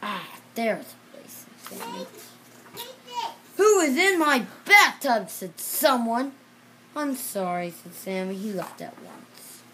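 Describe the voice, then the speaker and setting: A child reading a children's storybook aloud in a halting way, repeating and correcting words. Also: mid-range voice, big pitch swings, pushed and bright, a little hiss or hum. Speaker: reader.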